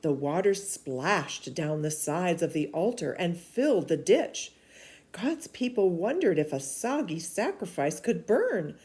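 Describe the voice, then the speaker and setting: A woman reading a children's storybook aloud in an expressive, animated way, dropping to hushed asides. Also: lowish voice, measured pace. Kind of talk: read-aloud of a children's book.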